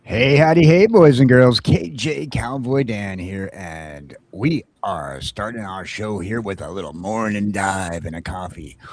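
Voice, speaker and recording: A low-pitched male host who talks in a loud, excitable way. He hollers greetings, whoops, and keeps the chat loose and casual. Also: measured pace, few pauses, no echo. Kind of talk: casual morning show chat.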